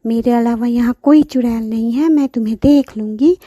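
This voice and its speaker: A woman voicing a menacing, boastful old witch granny in a children's cartoon story, with cackles and drawn-out threats. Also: on the high side, mellow, no room sound, clean studio sound, close to an everyday voice. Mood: furious, threatening.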